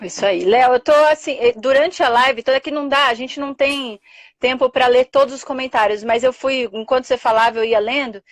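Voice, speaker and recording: A woman's voice: high-pitched, fairly even intonation, fairly quick, no echo. A young adult woman with a Brazilian Portuguese accent, hosting a live interview and thanking her guest effusively. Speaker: host.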